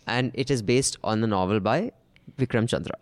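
A man's voice: medium pitch, moderate pace. A man co-hosting a casual podcast, teasing his co-host and reading out listener email in a wry way.

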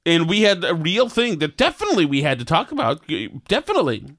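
A man's voice: sits high, huge pitch swings, fast talker, fairly bright tone, no echo, clean studio sound. A man co-hosting a casual podcast, joking and teasing his co-host. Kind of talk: casual chat.

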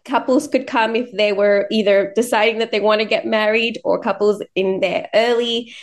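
A woman's voice: fairly high pitch, fairly even intonation, moderate pace. A woman from Sri Lanka living in Australia, hosting a podcast in a chatty, effusive, conversational way. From born in Sri Lanka, moved to Australia at eighteen. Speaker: podcast host. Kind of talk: conversational interview, anecdotal chat.

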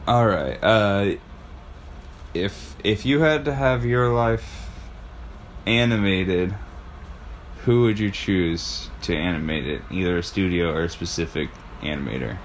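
A man's voice: sits low, measured pace, slight background noise. A man hosting a casual podcast, talking in a rambling, enthusiastic, sweary way. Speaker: podcast host.

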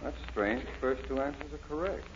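An actor playing a pompous, excitable university dean with theatrical delivery in a 1940s radio comedy, on a noisy old recording. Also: male voice, medium pitch, fairly quick, dull audio, lightly acted. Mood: suspicious, puzzled.

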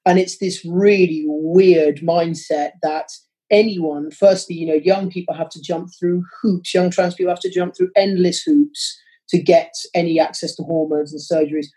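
A man answering interview questions with passionate, quick-flowing arguments. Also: on the high side, fairly even intonation, mellow, poor audio. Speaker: interview guest, parent.

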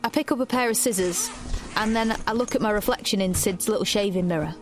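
Actress as lonely young rebel woman narrating her dystopian life, halting n self-questioning, music underneath. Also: mid-range voice, natural voice. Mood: restless. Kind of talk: first-person audio drama narration.

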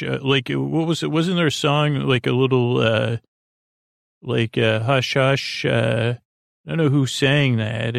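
A man with a creaky voice hosting a bedtime podcast in a gentle, meandering, halting monologue that keeps trailing off into whimsical tangents. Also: medium pitch, dry recording, clean studio sound. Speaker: podcast host. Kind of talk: rambling bedtime monologue to the listener.

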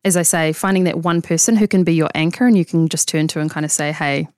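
A woman's voice: deep voice, fast talker, a little mellow, dry recording, studio quality. A woman in her thirties from New Zealand with a New Zealand accent, a coach talking casually and reflectively in an interview, with many fillers. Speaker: guest coach.

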